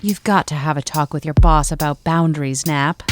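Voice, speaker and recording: A woman's voice: deep voice, fairly even intonation, fairly quick, natural voice. Actress as tough wry adventurer lady, straight to the point, audio drama with faint music. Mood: frank, tender. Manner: direct, dry. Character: frank, wry, tough-minded female adventurer.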